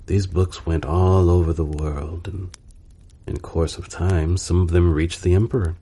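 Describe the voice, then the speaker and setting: A man narrating a bedtime storybook in a calm, soothing and unhurried manner. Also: low-pitched, husky.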